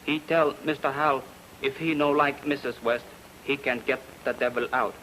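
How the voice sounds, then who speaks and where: Brief: male actor as sly houseboy informant, sharp tone, broken English, faint old radio noise. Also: mid-range voice, steady tone, moderate pace, close to an everyday voice, poor audio. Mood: cagey. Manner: clipped broken English, dropping articles and verb endings. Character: cagey, mercenary male houseboy informant, blunt and eager. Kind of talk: old-time radio character acting.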